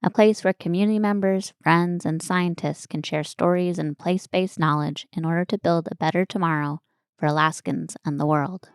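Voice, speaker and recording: Low-pitched, clear-voiced woman hosting. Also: fairly even intonation, normal speed, no room sound. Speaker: podcast host. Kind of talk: scripted podcast introduction.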